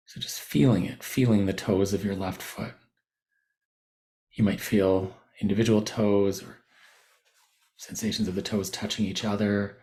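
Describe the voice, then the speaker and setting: A man leading a guided body scan meditation as a teacher, giving gentle, unhurried instructions with pauses mid-phrase. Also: fairly low pitch.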